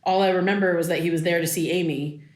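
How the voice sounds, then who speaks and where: A woman acts a daughter in her thirties with a somewhat low voice. She talks informally and haltingly, with a raw, insistent edge. The delivery is naturalistic audio drama. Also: steady tone, darkish tone, lightly acted. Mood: reminiscing, casual. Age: 30s. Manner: conversational, hesitant, informal. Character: wounded thirty-three-year-old daughter, insistent and raw.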